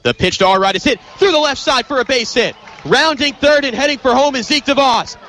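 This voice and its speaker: A young American man calling live baseball play-by-play, emphatic and excitable on big plays. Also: extremely high pitch, brisk. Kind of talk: live sports commentary.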